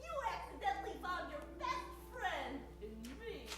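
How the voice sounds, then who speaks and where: A woman's voice: extremely high pitch, big pitch swings, normal speed, distant mic. A woman playing an eager, cutesy fourteen-year-old girl sidekick in an improvised old-time radio comedy. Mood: plucky, eager. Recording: echoey stage from afar, music behind.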